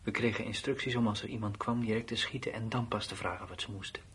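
An actor playing a blunt, uneasy soldier in a Dutch radio drama, with plain, colloquial, matter-of-fact delivery. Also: male voice, fairly low pitch, fairly even intonation, quick pace, fairly bright tone, lightly acted. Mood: bewildered, aggrieved. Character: blunt, uneasy male soldier left behind at his post. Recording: noisy old radio play recording.

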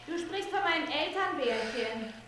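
An actress playing a woman guest in a couple who speaks softly and quietly. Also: high-pitched, animated, moderate pace, echoey room, slight background noise. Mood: coy. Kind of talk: radio play acting.

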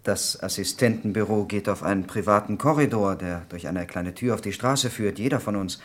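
An actor playing a polite hospital doctor in a German radio crime drama, accounting for himself in a careful, matter-of-fact and slightly hesitant way. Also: male voice, fairly low pitch, normal speed, natural voice. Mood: careful, explaining.

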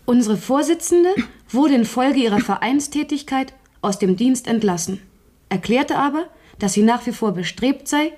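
A woman playing a devoted, brisk young servants' union activist in a radio drama, earnest and articulate. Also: on the high side, a little mellow. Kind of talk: literary radio drama acting.

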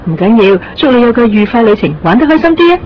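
A woman's voice: a little high, very animated, quick pace, lo-fi sound, a little hiss or hum. A woman playing a polite, patient flight attendant in a radio drama.

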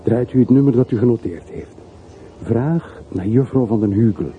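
A man's voice: medium pitch, normal speed, lightly acted. A man acting in a radio play as a wistful, well-off middle-aged man who speaks in short, brooding, confessional sentences. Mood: insistent. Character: wistful middle-aged man of forty-three, wealthy returned emigrant. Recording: muffled phone-like old radio recording, hissy.

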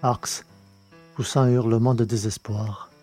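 A man reading a novel aloud in measured, deliberate narration. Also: medium pitch, steady tone, warm tone, faint music behind. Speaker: audiobook reader. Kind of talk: scripted narration of a science-fiction novel.

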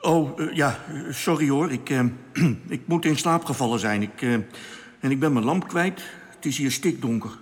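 A bewildered everyman space traveller acted by a man in a radio play. He talks informally and haltingly in a mid-pitched voice, uneasy and questioning, with long reverb around him. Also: close to an everyday voice. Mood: sheepish, uneasy. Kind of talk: radio drama acting.

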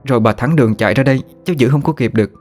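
A man's voice: medium pitch, rapid-fire, darkish tone, faint music behind, voice pushed up, lightly acted. A man voicing a tearful, exhausted grown daughter who pleads and explains. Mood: weary.

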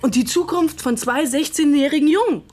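A woman's voice: sits high, fairly quick, a little mellow, lightly acted. An actress in a German radio drama playing a cutting, sarcastic, middle-aged mother who defiantly shields her son. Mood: angry, scornful.

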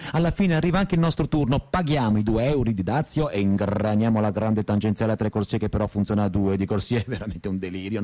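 A man's voice: lowish voice, a little mellow, lightly acted. An actor playing a brooding, melancholy man in a radio monologue, lyrical and wry, with colloquial turns. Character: melancholy, brooding man stuck in traffic, wryly poetic. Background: music.

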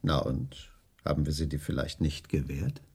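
Deep, husky husband. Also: male voice, expressive, normal speed, a little mellow, heavily acted. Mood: mildly defensive. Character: mild, defensive married male homeowner and host. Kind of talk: conversational radio drama acting.

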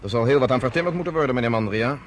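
A man playing a brisk commanding leader. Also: mid-range voice, a little hiss or hum, lightly acted. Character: decisive man commanding a refugee voyage. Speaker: leader organizing a ship. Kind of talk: radio play acting.

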